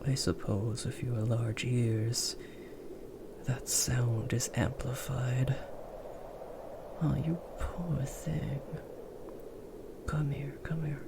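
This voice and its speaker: A man voicing a big, gentle, protective werewolf in a comforting ASMR roleplay, speaking in a hushed, soothing, breathy murmur. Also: medium pitch, little pitch movement, very slow, pauses often, very noisy. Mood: reassuring.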